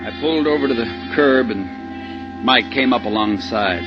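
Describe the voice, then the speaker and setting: A man's voice: medium pitch, normal speed, close to an everyday voice. A man playing an anxious middle-aged husband driving at gunpoint, in folksy, tense 1950s radio suspense style. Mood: tense narrating. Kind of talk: 1950s radio suspense acting with first-person narration. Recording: muffled old radio recording with music behind.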